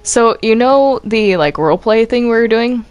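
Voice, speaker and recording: A woman's voice: on the high side, expressive, moderate pace, a little mellow, dry recording. A woman chatting casually as she hosts a multiplayer game roleplay session. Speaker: host of a Minecraft roleplay series. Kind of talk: casual gaming chat.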